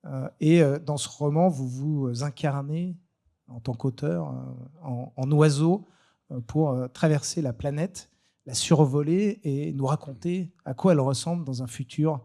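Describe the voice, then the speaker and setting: A man hosting an informal live panel, speaking in a hesitant, halting way. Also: a little high, creaky, dark tone. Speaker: panel moderator.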